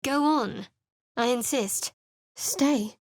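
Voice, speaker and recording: A wary young cleric, dry and cutting, fairly high voice, close dead recording. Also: female voice, extremely slow, no echo, full character voice. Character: guarded sardonic young woman cleric adventurer. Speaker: game character. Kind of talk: studio game voice acting and narration.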